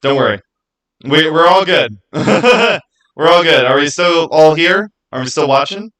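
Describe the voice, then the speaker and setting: A man's voice: on the high side, animated, a little slow, a bit bright. A man streaming live, chatting casually about game lore in an emphatic way and repeating his phrases for stress.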